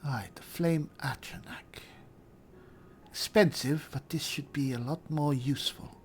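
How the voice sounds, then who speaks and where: A man thinking aloud in character as he plays a roleplaying game, measured and deliberate, often muttering to himself. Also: on the high side, a little hiss or hum. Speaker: gamer narrating a roleplay playthrough.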